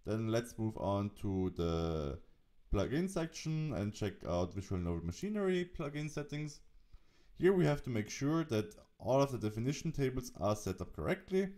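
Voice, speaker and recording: A man narrating an instructional software tutorial, explaining steps as the presenter. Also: lowish voice, very animated, moderate pace.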